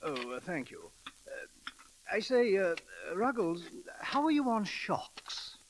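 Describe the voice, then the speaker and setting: A bleary upper-class English gentleman in an old radio comedy. His voice is high and very animated, hesitant and blustering by turns, on a hissy 1930s broadcast. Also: slow, exaggerated voice. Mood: hesitant, awkward.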